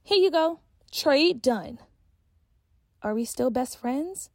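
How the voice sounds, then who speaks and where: Breezy chatty schoolgirl played by a woman, bright and high, swooping. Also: lively intonation, no echo, studio quality, exaggerated voice. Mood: earnest. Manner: chatty, breezy, quick to brush off. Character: bubbly self-absorbed schoolgirl friend.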